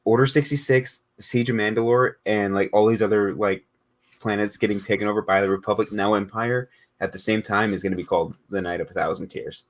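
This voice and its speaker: A man's voice: fairly low pitch, steady tone, fairly quick. A man co-hosting a fan podcast, speaking casually in a fan discussion. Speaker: podcast co-host.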